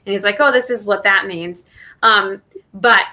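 A woman's voice: mid-range voice, normal speed, pushed and bright. A woman who grew up in southern Mexico with Spanish as her first language, answering interview questions in a thoughtful way, with many fillers and restarts. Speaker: guest, NASA engineer.

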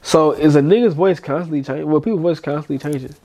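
A man's voice: a little high, quick pace. A man in his twenties co-hosting a podcast, chatting casually in slangy banter, sounding congested. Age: 20s. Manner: animated slangy banter with frequent laughter. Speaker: podcast co-host. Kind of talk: casual chat.

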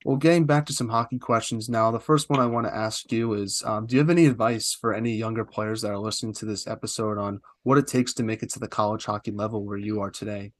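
Fast-talking low-voiced male podcast host. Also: steady tone, no room sound, studio quality. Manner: rapid, talkative questions with "uh" fillers. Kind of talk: interview podcast hosting.

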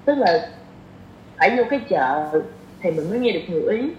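A woman's voice: fairly low pitch, sing-song, brisk. A woman podcast guest casually explaining her opinions, often repeating phrases for emphasis. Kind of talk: casual chat, sharing opinions. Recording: roomy echo, dull lo-fi sound, faint noise.

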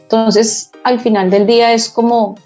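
A woman in her forties with a Bogotá Colombian accent, a guest expert giving measured, careful explanations with small restarts in a podcast interview. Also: fairly high pitch, level tone, a little mellow, faint music behind.

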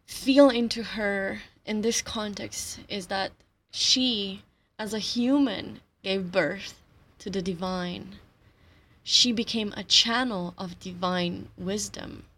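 A woman whose first language is Slovenian talks at a slow, unhurried pace. She has an even, mid-range voice and explains her reflective spiritual ideas gently, with soft laughs between her thoughts. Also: mid-range voice. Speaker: astrology teacher.

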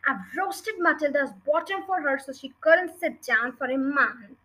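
Woman playing a bullying, barking headmistress, bright and high. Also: expressive, moderate pace. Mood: domineering, angry. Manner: barking, snorting, contemptuous, loud. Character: bullying, scornful adult headmistress, giant and bellowing.

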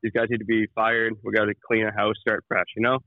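A man's voice: fairly low pitch, completely flat delivery, quick pace, dry recording, soft background music. A man co-hosting a casual sports talk show, blunt and conversational with some swearing, on a dull lo-fi mic. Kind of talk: casual sports opinion chat.

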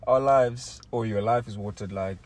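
A man's voice: medium pitch, moderate pace. Reflective man sharing sayings. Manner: reflective, quotes friends' sayings. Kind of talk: casual chat, sharing advice.

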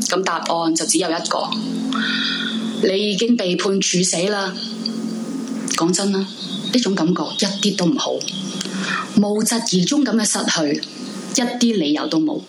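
An actress playing an introverted, brooding young woman in a radio drama, confiding in Hong Kong Cantonese with dramatic pauses. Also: medium pitch, very noisy, close to an everyday voice. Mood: bitter, wounded. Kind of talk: radio drama monologue in first person. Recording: music bed under the voice. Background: dramatic background music.

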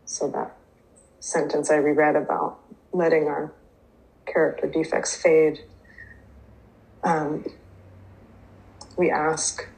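Woman, native Hebrew speaker, low voice, hesitant reflective share. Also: darkish tone, low-quality recording. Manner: hesitant, many fillers and restarts. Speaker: twelve-step program member sharing. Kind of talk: reading aloud, then personal recovery share.

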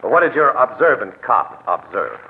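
A man's voice: medium pitch, very animated, normal speed. An actor playing a wisecracking, easygoing newspaperman in a crime radio drama, with sardonic casual banter. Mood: wry. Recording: tinny, hissy old radio broadcast.

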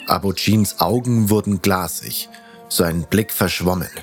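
A man's voice: low-pitched, a little slow. A man narrating a fantasy audio story in the first person, reading from a script as its protagonist. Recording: faint music behind the voice.

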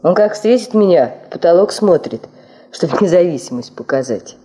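An actress playing a wry, self-mocking middle-aged secretary in a radio play, teasing and drawling in a familiar way. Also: female voice, lowish voice, lively intonation, normal speed, mellow, natural voice.

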